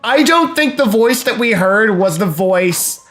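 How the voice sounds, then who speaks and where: A man's voice: high voice, lively intonation, measured pace, a bit bright, soft background music. A man co-hosting a live game stream, chatting casually and working out his thoughts aloud with many fillers. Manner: musing, theorizing, hedging with fillers. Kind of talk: casual live gameplay chat.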